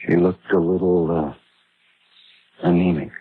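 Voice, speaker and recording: An actor playing a guarded camp doctor who speaks in a halting, reluctant way, in old radio drama style. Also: male voice, low voice, steady tone. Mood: hesitant. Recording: tinny, faintly noisy old radio recording.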